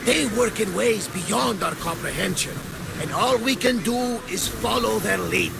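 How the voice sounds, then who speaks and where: High-pitched gravelly sea captain. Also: male voice, unhurried, background noise, exaggerated voice. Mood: welcoming, reverent. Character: hearty, pious old male ship captain, boisterous, loyal. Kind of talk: video game cutscene acting.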